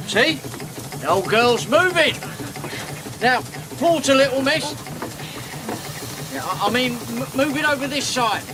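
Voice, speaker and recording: A man playing a rough riverboat captain. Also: very high voice, background noise, full character voice. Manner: blunt, halting, slangy speech. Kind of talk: radio drama acting.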